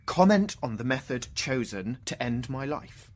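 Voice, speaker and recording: An actor playing a dead wartime mathematician in a radio drama, a wry, wounded intellectual who swings from hushed to outburst. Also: male voice, medium pitch, lively intonation, a little slow. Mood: quiet, wry.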